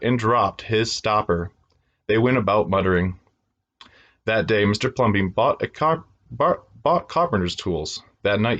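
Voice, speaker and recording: A man reading a children's storybook aloud in an expressive storytelling style for young listeners. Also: on the low side, dull audio.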